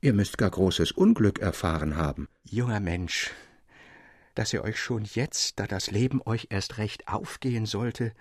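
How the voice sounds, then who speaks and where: A man voicing a grave old miner with a deep, rough voice in a storybook reading, solemn and measured. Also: dry recording, clean studio sound. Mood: grave concern. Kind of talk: audiobook character acting.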